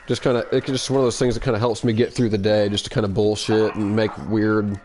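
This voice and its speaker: A man's voice: on the low side, flat delivery, fast talker, darkish tone. A man of about thirty from Oklahoma with a slight twang, chatting casually and joking while streaming a football game. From Oklahoma, USA. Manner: laid-back, joking, chatty. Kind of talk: casual gaming chat.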